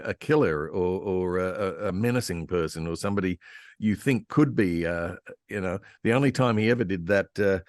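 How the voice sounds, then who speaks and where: Low-voiced man, halting raconteur. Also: no room sound, studio quality. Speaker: guest radio historian. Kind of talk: animated, anecdotal panel discussion. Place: online convention video call.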